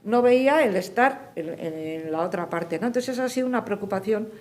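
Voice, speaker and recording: A woman's voice: mid-range voice, lively intonation, brisk, a little mellow. A woman with a mid-range voice speaks as an activist on a discussion panel. Her delivery is reflective yet emphatic, and she keeps turning to listeners with little tag questions. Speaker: panelist, civil society peace activist. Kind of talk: reflective spoken testimony at a forum.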